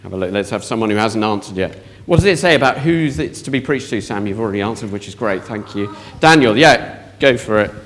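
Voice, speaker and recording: A man's voice: on the low side, expressive, a little fast, fairly bright tone. A man leading an interactive children's talk in church, asking the audience questions.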